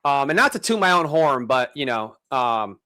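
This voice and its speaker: A man's voice: mid-range voice, very animated, moderate pace, sharp tone. A man of thirty-nine chatting casually as a live stream host, with informal, slangy bro-talk. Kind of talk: casual chat.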